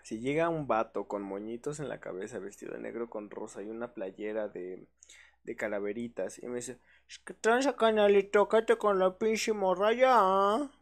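A young man with a Mexican accent chats on a livestream. He talks in a casual, slangy and joking way at a middle pitch. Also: expressive, moderate pace. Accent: Mexican Spanish. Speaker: podcast co-host. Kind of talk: casual chat.

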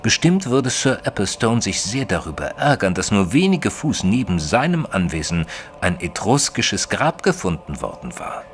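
An actor playing an educated, observant gentleman who narrates his own story in a formal, literary audio drama style. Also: deep voice, exaggerated voice. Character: male narrator Mister Tristram, educated, observant, easily flustered gentleman. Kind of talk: scripted first-person audio drama narration.

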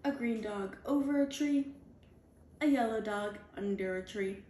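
A woman reading a children's picture book aloud, deliberately, in clearly spaced phrases for young children, recorded indoors at home. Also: on the high side, darkish tone. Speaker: storybook reader. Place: indoors at home, on a leather couch. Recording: roomy home recording with background noise.

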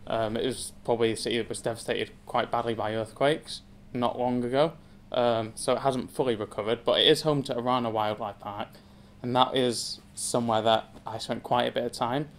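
A young man in his twenties from England speaks at a lectern in a wood-panelled room before a seated audience. His delivery is hesitant and casual, peppered with ums and light self-deprecation, at an ordinary mid pitch. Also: steady tone, a little fast. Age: 20s. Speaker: zoo community engagement assistant giving a talk. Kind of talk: personal story talk to an audience.

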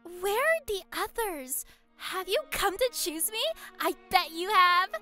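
A woman's voice: extremely high pitch, lively intonation, slow pace, pushed and bright, faint music behind, heavily acted. A woman playing a vain, airheaded dragon princess in an audio drama, gushing and haughty. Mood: smug, vain. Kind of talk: fan audio drama acting.

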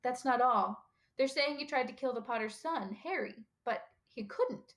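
A woman's voice: fairly high pitch, animated, normal speed, fairly bright tone, voice pushed up. A woman voicing a stern older female professor in an audiobook, with a clipped, emphatic, impatient manner. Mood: shaken, astounded. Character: stern older female professor, brisk, indignant, easily ruffled. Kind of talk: audiobook character dialogue.

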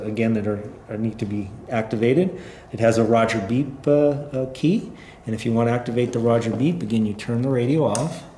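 A man's voice: medium pitch, warm tone. A middle-aged man informally demonstrating a product step by step, in plain-spoken, unscripted talk. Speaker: company representative presenting a product. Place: indoor room at a tabletop. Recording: roomy echo, faint background noise.

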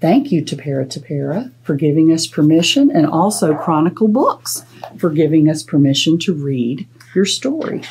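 A woman's voice: deep voice, very animated, normal speed, background noise. A middle-aged woman hosting a children's storytime as a librarian, instructive and playfully dramatic. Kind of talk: children's storytime talk and read-aloud. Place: small indoor space, plain wall.

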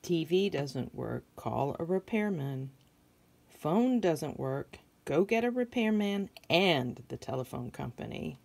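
A woman's voice: deep voice, sing-song, slow pace, creaky, a little mellow. A middle-aged American woman reading a picture book aloud to children, adding simple questions and observations as she goes. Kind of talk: read-aloud storytelling with asides to young listeners.

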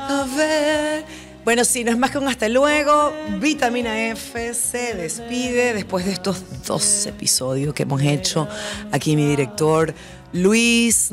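A woman hosting a news chat show in a small studio, exclaiming and laughing often. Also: lowish voice. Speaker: host. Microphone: studio mic on boom, wearing headphones.

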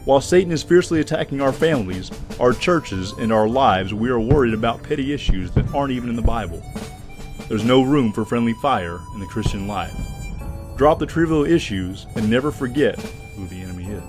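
A man's voice: medium pitch, animated, brisk, over music. A man narrating a scripted radio programme as its announcer.

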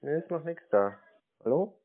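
A man's voice: a little high, lively intonation, moderate pace, no room sound. A man in a casual phone conversation, acting clueless and asking questions as a customer. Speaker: scam-baiting prank callee posing as customer. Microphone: phone call. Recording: muffled narrow-band call audio.

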